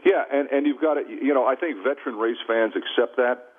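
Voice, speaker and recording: A man's voice: medium pitch, a little fast, slightly rough. An American man giving casual, emphatic sports commentary as a radio guest, repeating himself to make his points, over a phone line. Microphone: phone call. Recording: tinny band-limited phone line on radio.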